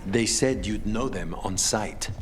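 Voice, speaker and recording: Terse, cautious game operative. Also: male voice, lowish voice, takes it slowly. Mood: dry, firm. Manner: terse, matter-of-fact. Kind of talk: dramatic video game acting.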